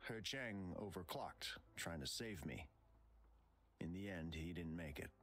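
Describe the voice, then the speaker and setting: An actor playing a polite, guilt-ridden former soldier in a video game, halting and subdued. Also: male voice, sits low, animated, a bit bright, heavily acted. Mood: sorrowful, guilty. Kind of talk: English video game voice acting.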